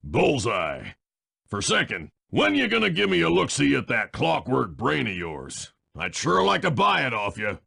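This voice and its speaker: Gravelly folksy cowboy villain. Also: male voice, mid-range voice, huge pitch swings, measured pace, pushed and bright, exaggerated voice. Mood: folksy, scheming. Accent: North American cowboy drawl. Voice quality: gravelly, rough. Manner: folksy, drawling cowboy talk. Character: burly folksy villainous train baron.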